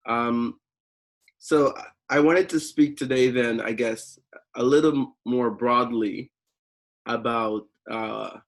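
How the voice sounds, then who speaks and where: A man from Guyana speaking at a seminar, deliberately slow with fillers. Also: medium pitch, vocal fry. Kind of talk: online seminar talk.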